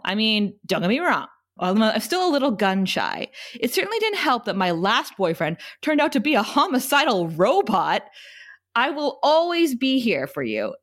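A woman reading the part of a gentle, wistful middle-aged mother in a script table read. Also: a little high.

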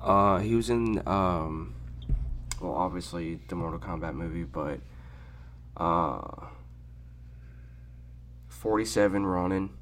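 A man's voice: low voice, slow pace, pauses often, a bit bright, a little hiss or hum. A man chatting casually as a podcast guest, slangy and repeating phrases for emphasis. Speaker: podcast guest, content creator. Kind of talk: casual conversational chat.

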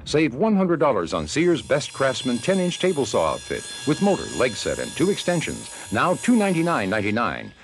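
Very animated male ad announcer, noisy recording. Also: medium pitch, a little fast, few pauses. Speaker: commercial announcer. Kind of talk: scripted retail advertisement read.

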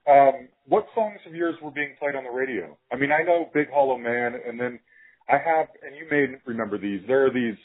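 A man's voice: medium pitch, a little fast, slightly rough, narrow, tinny audio. A man hosting a podcast, asking questions in a casual, conversational interview style.

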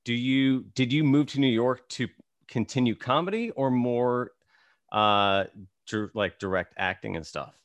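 A man in his thirties hosting an interview show, relaxed and rambling, with many fillers. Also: medium pitch, unhurried, dry recording. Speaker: podcast host. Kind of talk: casual interview chat.

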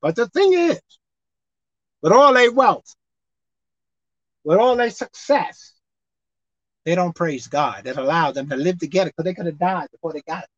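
A woman preacher delivering a sermon with animated, call-and-response delivery, acting out bits of dialogue. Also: fairly low pitch, normal speed, pauses often, no room sound. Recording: dull lo-fi dry sound.

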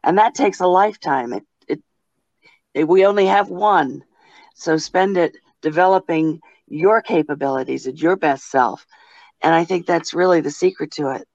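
A woman's voice: sits low, creaky, darkish tone, dry recording. Older woman, dull dry call audio. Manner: reflective and measured. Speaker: panelist. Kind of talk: casual panel discussion. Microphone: video call.

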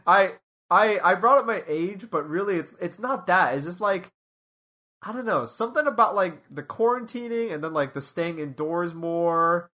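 A man's voice: high-pitched, lively intonation, normal speed, pushed and bright. A man in his late twenties co-hosting a podcast, talking casually and rambling with many restarts and fillers. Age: 20s. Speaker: podcast co-host. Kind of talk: casual chat.

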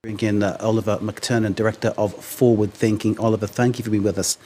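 A man anchoring a broadcast news interview, speaking in a measured, probing manner. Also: fairly low pitch, level tone, mellow.

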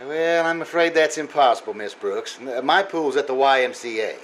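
An actor playing a shy, earnest, oblivious bachelor science teacher, hesitant and prim, in an old-time radio comedy. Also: male voice, mid-range voice, moderate pace, fairly bright tone, slight background noise, lightly acted, low-quality recording. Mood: bashful, literal.

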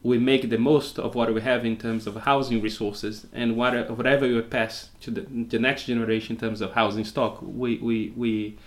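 Halting, thoughtful Brazilian-born man. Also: mid-range voice, flat delivery. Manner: thoughtful, halting, many restarts. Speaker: guest, co-living entrepreneur. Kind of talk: conversational interview answers.

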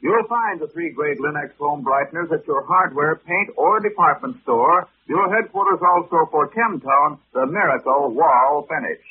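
A man's voice: a little high, expressive, moderate pace, few pauses. An American man announcing in a polished, emphatic 1940s radio advertising style. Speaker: commercial announcer. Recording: scratchy, tinny 1940s radio recording.

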